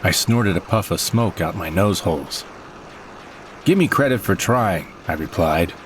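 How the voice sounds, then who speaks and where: A man's voice: deep voice, animated, measured pace, a little hiss or hum. A man narrating a first-person audio drama story in a dramatic storytelling manner. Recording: narration over loud score.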